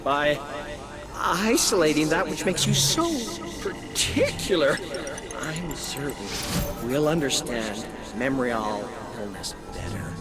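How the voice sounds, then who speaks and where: A man playing an unhinged, grandiose scientist villain in a video game, coldly soothing and pompous in florid, deliberate phrases. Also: a little high, very animated. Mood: condescending. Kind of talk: dramatic video game acting. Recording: loud music over the voice.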